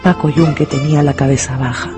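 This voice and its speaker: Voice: medium pitch, steady tone, brisk. Narración de cuento radiofónico con música. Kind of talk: scripted storytelling narration for radio. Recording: music under the narration.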